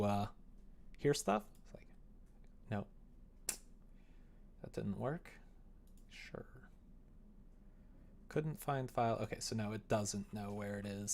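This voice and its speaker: Creaky, low-voiced young man thinking aloud. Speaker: livestreamer developing a visual novel. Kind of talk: casual livestream chat while coding, thinking aloud. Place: indoor room at a computer.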